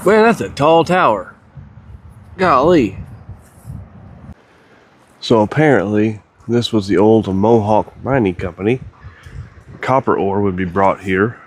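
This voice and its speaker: A man's voice: low voice, slight background noise. Stuffy-nosed Midwestern man vlogging outdoors. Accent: Midwestern American. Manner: easygoing, upbeat commentary. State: stuffy from a head cold. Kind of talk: casual travel vlog narration. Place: outdoors at a campsite and old mine site.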